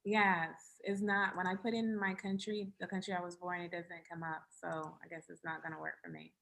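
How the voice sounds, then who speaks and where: A woman's voice: lowish voice, level tone, a little fast, creaky, a bit bright. A woman from Barbados, a podcast co-host, chatting casually in a light, laughing manner. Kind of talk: casual chat.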